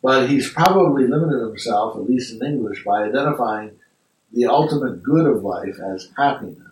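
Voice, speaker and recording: Man, class discussion leader, reflective and unhurried, mic set well back in a roomy hall. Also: mid-range voice, animated. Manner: reflective, thinking aloud with restarts. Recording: echoey room, mic far off.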